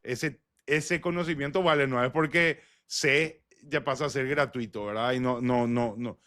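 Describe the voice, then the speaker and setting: A man's voice: mid-range voice, moderate pace, pushed and bright. A man hosting a conversational interview. He argues his points and keeps checking for agreement with tag questions. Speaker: podcast host.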